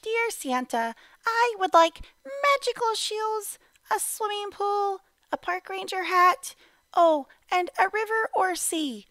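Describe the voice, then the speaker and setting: A woman's voice: very high voice, lively intonation, slow, pushed and bright, no room sound, voice pushed up, full character voice. A woman voicing an earnest, polite little girl reading out her wishes to Santa in a storybook. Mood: eager, wishful. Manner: sweet, polite, careful wishing.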